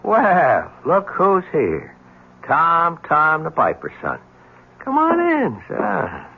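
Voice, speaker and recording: A man's voice: fairly high pitch, huge pitch swings, takes it slowly, vocal fry, breathy edge, heavily acted. An American actor playing a wry, punchy, smitten but skeptical male newspaper reporter in a 1970s radio drama. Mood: flustered, defensive. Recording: muffled old radio broadcast recording.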